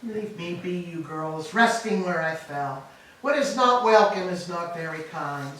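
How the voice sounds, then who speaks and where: A woman's voice: on the low side, lively intonation, unhurried, a bit bright. A woman playing a grieving, proud old enslaved queen, declaiming laments in a staged reading of Greek tragedy. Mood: weary lament. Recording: distant, echoey hall with background noise.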